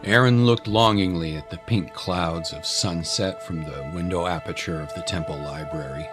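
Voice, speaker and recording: A male narrator with a fairly low voice tells a scripted story. Music plays clearly beneath his narration. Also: moderate pace.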